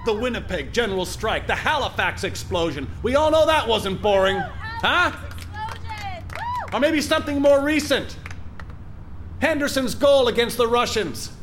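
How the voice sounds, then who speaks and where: A man's voice: very high voice, expressive, measured pace, long pauses, a bit bright, some chatter behind, exaggerated voice. A man acting in a satirical audio drama as a flustered, sarcastic, self-important foundation director, stammering and wry. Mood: rousing, theatrical. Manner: stammering, wry, theatrical in speeches. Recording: faint vehicle noise behind. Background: moving car ambience.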